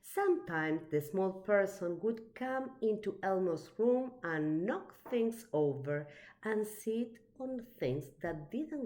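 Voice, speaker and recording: Animated woman reading a children's story slowly. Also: mid-range voice. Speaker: storybook reader. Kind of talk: expressive read-aloud of a children's picture book.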